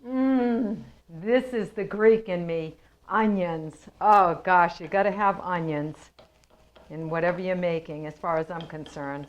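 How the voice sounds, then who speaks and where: A woman hosting a cooking show from her kitchen, chatty and homey as she explains each step. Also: on the low side. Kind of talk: home cooking demonstration. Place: home kitchen.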